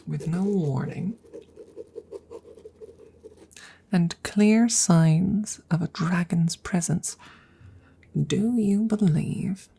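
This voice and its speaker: A woman voicing a courteous, smitten female dragon in a hesitant, formal ASMR roleplay, whispering softly and breathily close to the microphone. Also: on the low side, gravelly, breathy edge, heavily acted. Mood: earnest, probing. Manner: hesitant, formal, old-fashioned phrasing with murmured repetitions.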